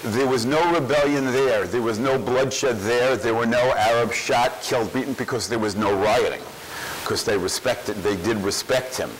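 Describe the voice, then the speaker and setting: A man answers audience questions at a public event, pressing his points by repeating them. His voice sits at a middle pitch. A faint hiss runs under the recording. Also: moderate pace, low-quality recording. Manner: emphatic, repeats phrases for force.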